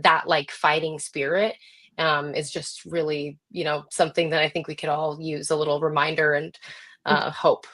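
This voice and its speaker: A woman's voice: deep voice, little pitch movement, normal speed, a bit bright. A woman hosting a podcast interview in a casual, conversational way, informal and full of appreciation for her guest. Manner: informal, effusively appreciative, affirming. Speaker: podcast host.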